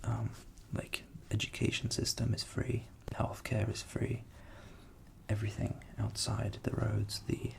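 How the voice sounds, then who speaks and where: A young man who grew up in England with Ukrainian as his first language, whispering softly as an ASMR host answering viewer questions and thinking aloud. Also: on the low side, monotone, measured pace, vocal fry. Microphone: studio USB microphone.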